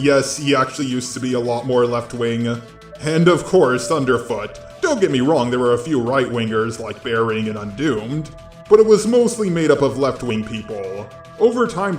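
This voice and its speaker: A synthetic robot male voice reading a scripted video essay narration in a flat, mechanical text-to-speech delivery. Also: medium pitch, roomy sound.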